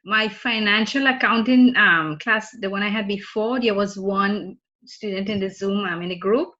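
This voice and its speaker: A woman's voice: mid-range voice, moderate pace, bright, forceful tone. A woman from Trinidad, a student chatting casually with classmates on a video call, often laughing and restarting her sentences. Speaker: student in group meeting. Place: home in Florida. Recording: muffled lo-fi video call audio.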